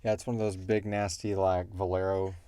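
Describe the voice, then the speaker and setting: Low, mellow-voiced man hosting a casual podcast. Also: level tone, fairly quick, a little hiss or hum. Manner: informal, joking, slangy. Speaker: podcast host and show creator. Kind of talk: casual Q&A discussion.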